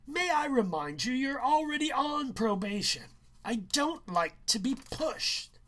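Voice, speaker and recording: A man voicing a strict, mocking male high school teacher in an audiobook, with curt, drawn-out emphasis. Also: big pitch swings, sharp tone, heavily acted.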